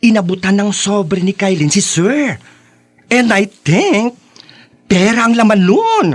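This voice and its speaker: A man's voice: high-pitched, big pitch swings, takes it slowly, exaggerated voice. An actor, a man with a Filipino accent, voicing a gossipy, flamboyant and playfully indignant young student in a radio drama. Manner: Taglish, sassy gossiping.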